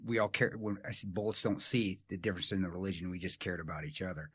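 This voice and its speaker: A man's voice: low-pitched, fast, fairly bright tone, breathy edge, no room sound. A man with a nasal voice chatting casually as a podcast host, rambling and poking fun at himself. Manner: rambling, self-deprecating, swears casually.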